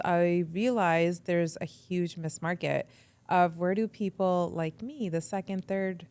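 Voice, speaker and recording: A woman's voice: fairly low pitch, clear, resonant tone, no echo. Young woman guest, casual storyteller, lots of "like", resonant voice on a dry mic. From Canada. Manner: chatty, quick, says "like" often, acts out her own thoughts. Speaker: guest, radio entrepreneur. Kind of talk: casual podcast interview.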